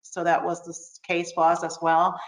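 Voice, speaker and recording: A woman from Senegal on a video call, telling her story as a guest in a measured way. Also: fairly low pitch, monotone, poor audio. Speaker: alumna guest. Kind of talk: casual webinar storytelling.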